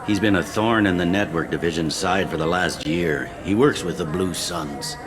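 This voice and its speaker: Actor as dry, low-voiced station cop, ambience behind. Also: male voice, moderate pace, a crowd in the background, natural voice. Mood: wry. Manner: dry, wry.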